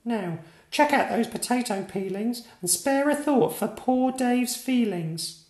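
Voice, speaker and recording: A man voicing a bold, earnest six-year-old boy knight in a storybook reading, shouting in a high, put-on voice. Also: expressive, takes it slowly, heavily acted. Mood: indignant. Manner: shrill indignant shouting. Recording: roomy echoey room.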